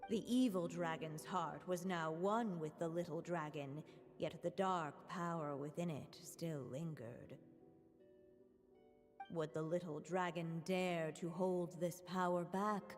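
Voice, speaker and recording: A woman narrating a fairy-tale story in a game, calm and measured. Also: lowish voice, big-room sound, soft background music.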